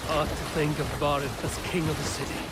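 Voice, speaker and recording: An actor playing a blunt, commanding Viking warrior in a video game, speaking in terse, direct, wary lines. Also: male voice, fairly high pitch, little pitch movement, a little fast, heavy background noise, close to an everyday voice. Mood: curious, reflective. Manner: terse, direct, plain-spoken. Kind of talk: video game cutscene acting.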